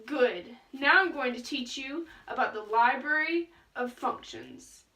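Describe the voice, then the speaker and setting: A woman's voice: high-pitched, animated, sharp tone, heavily acted, rough recording. A woman playing a bossy, bargaining wizard who teaches math in a student puppet show. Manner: brisk, instructive, impatient.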